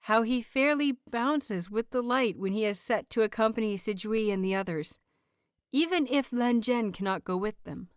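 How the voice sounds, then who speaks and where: A woman's voice: fairly high pitch, normal speed, clean tone, dry recording, studio quality. A woman reading a scripted story aloud as the narrator of an audio fiction series.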